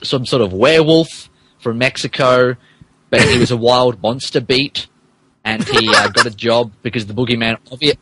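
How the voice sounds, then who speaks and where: A man's voice: medium pitch, normal speed, a bit bright, husky, low-quality recording. A man hosting and narrating, moving between casual chat and mock dramatic reading, amused and stumbling over garbled text. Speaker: podcast host and narrator.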